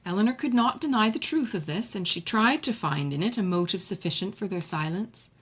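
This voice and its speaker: A woman narrates a classic novel for an audiobook. Her voice is medium in pitch and husky rather than crisp. She reads in a measured, literary way, with expression in the phrasing. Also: expressive.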